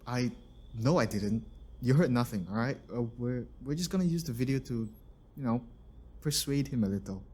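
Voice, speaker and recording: A man's voice: medium pitch, moderate pace, a little mellow, husky, natural voice. A man playing a kindly, protective engineer and inventor in an audio roleplay, talking in a casual, reassuring way. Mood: flustered.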